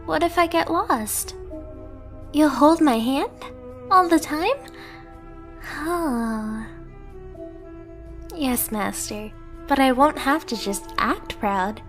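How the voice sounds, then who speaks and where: A woman's voice: high-pitched, lively intonation, takes it slowly, long pauses, faint music behind, exaggerated voice. A woman voicing a devoted, submissive young catgirl pet in an ASMR romance roleplay, tender, earnest and pleading. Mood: surprised, excited.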